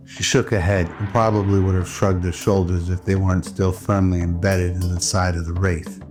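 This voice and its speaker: A man's voice: low voice, flat delivery, moderate pace. A man giving scripted dramatic narration in the style of an old radio drama. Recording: loud music under the voice. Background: background music.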